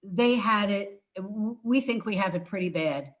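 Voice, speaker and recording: Older woman speaking slowly, halting and emphatic. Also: mid-range voice. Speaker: author presenting her book. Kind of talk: informal book talk on a video call. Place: indoors at home. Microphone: video call.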